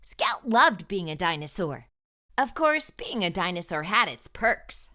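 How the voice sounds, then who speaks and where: Bright, animated woman reading a children's story. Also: on the low side. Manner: expressive, emphatic delivery with deliberate phrasing. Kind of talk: read-aloud storytelling for young children.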